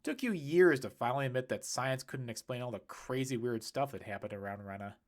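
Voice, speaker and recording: A man's voice: medium pitch, fairly quick, close to an everyday voice. A man voicing a casual, blunt adult man in a storybook, skeptical and teasing, with informal, emphatic delivery. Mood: teasing objection.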